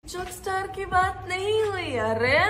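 A young woman with a very high voice gives casual, comic commentary. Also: expressive, measured pace, loud music behind the voice, a loud crowd. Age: young adult. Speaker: content creator in played clips.

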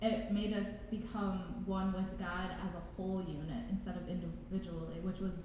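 A woman's voice: on the low side, flat delivery, normal speed, mellow. Young woman presenting in an echoey hall. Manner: casual, uses "like". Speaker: student panelist. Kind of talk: panel presentation. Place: large reverberant college hall. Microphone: handheld mic. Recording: echoey hall, dull sound, faint noise.

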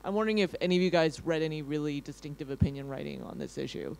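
Audience man, quick and friendly, rapid delivery, lecture-hall mic. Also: a little high, gravelly, a little mellow. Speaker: audience member asking a question. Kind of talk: question from the floor.

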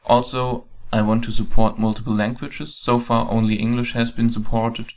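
A man with a German accent, a software developer, calmly walking through a program on a screen recording with frequent ums. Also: medium pitch, moderate pace. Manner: calm, plain explaining with frequent ums.

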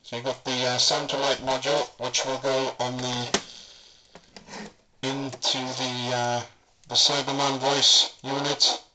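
A man's voice: medium pitch, little pitch movement, measured pace, pushed and bright, a little hiss or hum, low-quality recording. Hesitant man demoing gadgets. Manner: hesitant, many ums, talking while handling gear. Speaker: hobbyist prop maker. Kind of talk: casual product demonstration. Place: indoor room with a wooden floor. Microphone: handheld camera mic.

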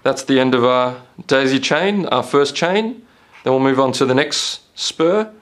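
A man's voice: medium pitch, measured pace, fairly bright tone. A man giving an instructional product demonstration as a company presenter. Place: small indoor meeting room. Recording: clearly noisy room recording.

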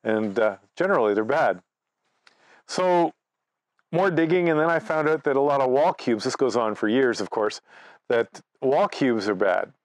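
Male presenter, sixties, mid-pitched, anecdotal and conversational, very dry acoustic. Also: lively intonation, normal speed, no echo. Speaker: amateur radio presenter. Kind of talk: informal conference presentation, personal storytelling. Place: outdoors by trees at a cottage.

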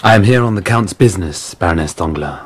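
An actor playing a count's courteous, firm emissary in a radio drama, formal and polite. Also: male voice, deep voice, expressive, fast, slightly rough. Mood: politely insistent.

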